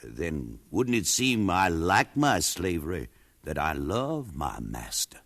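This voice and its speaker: A man's voice: low voice, very animated, slow, fairly bright tone, heavily acted. An actor playing a shrewd, deferential enslaved man reasoning persuasively in a 1950s radio drama. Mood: wounded, persuasive. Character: shrewd, placating enslaved man, secretly defiant.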